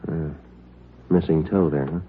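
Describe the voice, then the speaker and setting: An actor playing a terse, level-headed police detective who narrates in a clipped, deadpan way in an old radio drama. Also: male voice, a bass voice, measured pace, a little hiss or hum. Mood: matter-of-fact. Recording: narrow, tinny old radio recording with music.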